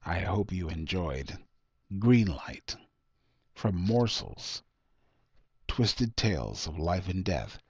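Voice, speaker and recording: A man's voice: low-pitched, very animated, slow, pauses often, breathy edge, dry recording, dull audio. A man reading his own short story as author, with dramatic, scripted storytelling delivery.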